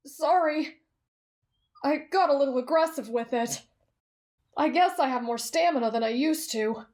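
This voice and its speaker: A woman playing a kind, polite, earnest teenage boy in an anime fan roleplay, speaking gently and considerately. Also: high-pitched, normal speed, close to an everyday voice. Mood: playful.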